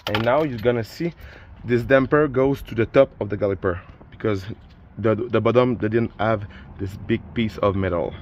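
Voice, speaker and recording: A man's voice: lowish voice, normal speed, background noise. Man with a French first language, explaining car repair. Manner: plain, instructive, with frequent restarts and filler. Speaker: DIY car repair channel host. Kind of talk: casual how-to narration while working.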